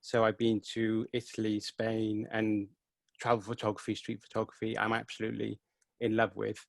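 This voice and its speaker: A man's voice: lowish voice, monotone, moderate pace, creaky, dry recording. A man from Wales in his thirties, answering questions about his photography in an earnest, enthusiastic way over a video call. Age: 30s. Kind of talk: casual interview answers. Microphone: headset.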